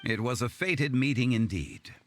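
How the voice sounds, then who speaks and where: A man voicing a calm, refined, courteous gentleman with measured, formal speech in a video game. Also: mid-range voice, lightly acted. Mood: composed. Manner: measured, formal, lofty phrasing. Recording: faint music behind the voice.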